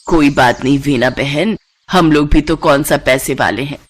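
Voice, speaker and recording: Casting: female narrator as middle-aged village mother, lowered voice, weary and admonishing, for a cartoon moral tale. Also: normal speed. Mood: hopeful. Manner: admonishing, pleading.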